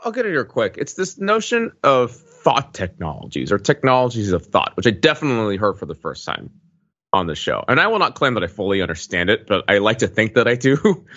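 A man's voice: lowish voice, very animated, fairly quick, a bit bright, lo-fi sound. A man chatting casually and self-deprecatingly as a panelist on a podcast. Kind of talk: casual chat.

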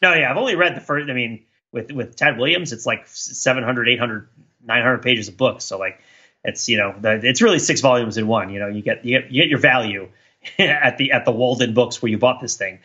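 Bright-voiced man rambling on a podcast. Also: medium pitch, husky, dull audio. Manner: rambling, halting, repeats words while thinking aloud. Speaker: podcast co-host. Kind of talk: casual reflective podcast discussion.

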